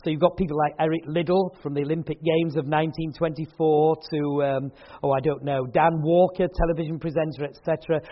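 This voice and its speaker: A man with a notably high voice hosts an evening event in a hall, chatting and joking with his listeners. The recording has a dull, lo-fi quality. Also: fairly even intonation, fairly quick. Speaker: event host and speaker. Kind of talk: casual chat at a church event. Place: hall with an audience.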